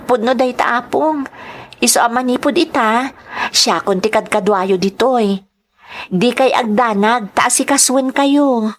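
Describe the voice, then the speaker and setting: A woman's voice: fairly high pitch, unhurried, natural voice. A woman playing an earnest, troubled young household helper in a radio drama, speaking respectfully with an Ilocano accent. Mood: humble, troubled.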